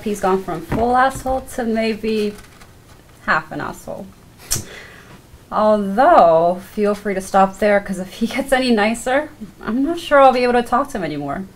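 Actress as female cop, wry, teasing, casual, medium pitch, faint noise. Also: normal speed, lightly acted, low-quality recording. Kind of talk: table read of a film script.

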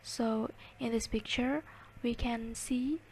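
Slow, mellow-voiced woman lecturing. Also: fairly high pitch, fairly even intonation, a little hiss or hum. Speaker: lecturer presenting slides. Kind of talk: slide lecture, reading and explaining.

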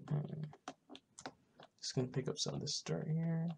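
Gravelly, creaky gamer muttering over keyboard noise. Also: mid-range voice, fairly even intonation. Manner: muttering to self while playing, halting. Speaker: gaming video host. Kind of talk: casual in-character Minecraft roleplay commentary. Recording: noisy home mic, keyboard clicks. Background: background noise, keyboard typing and clicking.

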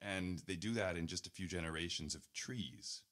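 A man's voice: low voice, normal speed, fairly bright tone. A man reading his own article aloud as scripted narration, in an earnest, pointed manner with rhetorical asides.

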